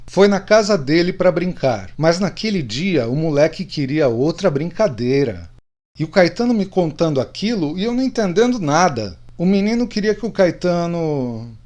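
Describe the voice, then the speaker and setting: Middle-aged male buddy actor, radio drama, confessional storyteller, lively intonation, higher register. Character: middle-aged man confessing secrets to old drinking friends.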